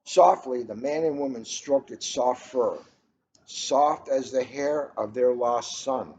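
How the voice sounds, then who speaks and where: Middle-aged male storybook reader, everyday mid-pitched voice, muffled sound with faint background noise. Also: slow, a little mellow. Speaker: police officer reading a children's book aloud. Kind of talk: storybook read-aloud with casual chat. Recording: muffled, noisy ambient room recording.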